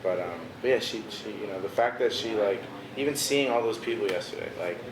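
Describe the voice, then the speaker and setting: Young man, low-set voice, relaxed slang-heavy guest, roomy echo with steady background noise. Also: a little fast. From Washington DC area. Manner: laid-back, heavy on "bro" and "you know" fillers. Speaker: visual artist, interview guest. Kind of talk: casual interview chat. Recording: echoey room with noticeable background noise.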